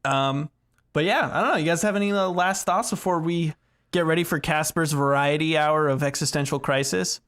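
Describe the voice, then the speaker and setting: A man's voice: fairly high pitch, moderate pace, a bit bright. Casual joking man co-hosting a gaming podcast. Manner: rambling, filler-heavy, joking. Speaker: podcast co-host. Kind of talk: casual rambling chat about video games.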